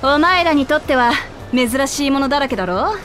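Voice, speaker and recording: A woman voicing a brash, tomboyish young princess in a game, speaking in a rough, boyish way. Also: high voice, animated, fairly quick, a bit bright, slight background noise. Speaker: princess character in a game cutscene.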